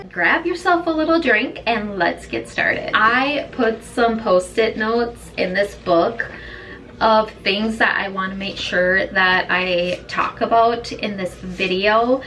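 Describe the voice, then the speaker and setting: Slow-talking hoarse woman vlogger. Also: medium pitch, a bit bright, distant mic. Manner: easygoing, rambling with fillers. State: hoarse, raspy voice. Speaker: beauty vlogger. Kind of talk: chatty get-ready-with-me talk. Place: her home beauty room.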